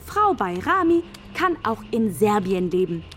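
A woman's voice: mid-range voice, sing-song, unhurried, a bit bright, a little hiss or hum. A woman playing a stern, curt female immigration office caseworker in a radio play. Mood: cold, dismissive. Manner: cold, curt, bureaucratic.

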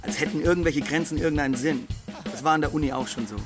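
A man's voice: a little high, quick pace, lightly acted. A man playing a scornful, exasperated character in a radio play monologue. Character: scornful, exasperated man railing at pretence of order. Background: drum music.

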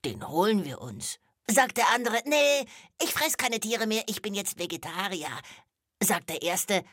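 Eine Frau spielt für einen Kinderpodcast eine kichernde, aufgeregte Hyäne mit rauer Stimme, die stammelt und alberne Witze erzählt. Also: female voice, mid-range voice, lively intonation, unhurried, a bit bright, no echo, studio quality. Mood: giggly, joking. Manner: stammering, cackling, exaggerated delivery. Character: giggly, excitable female hyena who tells corny jokes. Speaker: comic sidekick of a children's podcast. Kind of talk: children's podcast comedy.

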